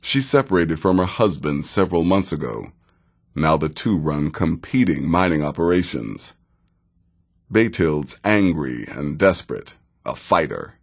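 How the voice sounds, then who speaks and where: An actor playing a seasoned, sardonic assassin in a video game, speaking in a measured, dry way with wry menace. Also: male voice, extremely low pitch, animated, creaky, full character voice. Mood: measured, grave. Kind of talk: video game character dialogue.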